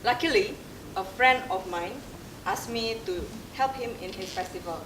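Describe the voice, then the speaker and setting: A young woman with an Indonesian accent, telling her story in a candid, self-mocking stage monologue with pauses. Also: medium pitch. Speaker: theater performer. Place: dark theater stage. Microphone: distant room mic. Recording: roomy stage sound from far off, audible noise.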